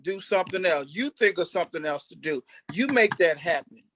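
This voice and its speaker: A man's voice: high voice, animated, a bit bright, a little hiss or hum. A man speaking off the cuff as a cast member in a panel discussion, emphatic, often repeating and restarting his phrases. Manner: emphatic, repetitive, halting restarts. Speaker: stage play cast member.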